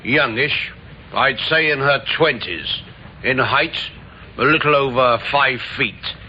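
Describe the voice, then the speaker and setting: A man's voice: mid-range voice, takes it slowly, natural voice. A man acting a plain-spoken character part in an old-time radio drama. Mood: matter-of-fact. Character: plain working man or matter-of-fact doctor. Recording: muffled old radio recording, faint hiss.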